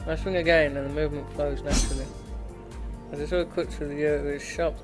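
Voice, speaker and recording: Male streamer, fairly high voice, reading game text aloud, stumbling, joking asides, game music behind. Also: little pitch movement, quick pace. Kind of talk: reading visual novel aloud with casual commentary.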